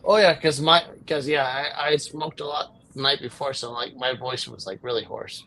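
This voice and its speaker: A man in his thirties chatting casually, quiet and halting, with a husky voice. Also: mid-range voice, fairly even intonation, a little hiss or hum, poor audio. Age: 30s. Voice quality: husky, gravelly voice. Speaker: guest. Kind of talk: casual chat. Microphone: poor mic.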